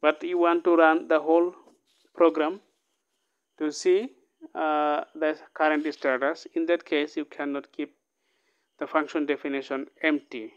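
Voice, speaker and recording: A man's voice: fairly high pitch, level tone, a little slow, smooth. Man walking through code with a dull lo-fi mic. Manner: explains code line by line, frequent "okay?" checks. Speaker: programming tutor. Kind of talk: casual screen-recorded coding lesson.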